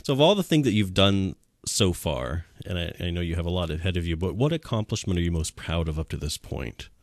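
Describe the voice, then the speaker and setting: Male host with a deep, lively voice, jokey and rambling, on a dry video call. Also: brisk, no echo. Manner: jokey, laughs a lot, rambling questions. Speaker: talk show host. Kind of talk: casual interview chat.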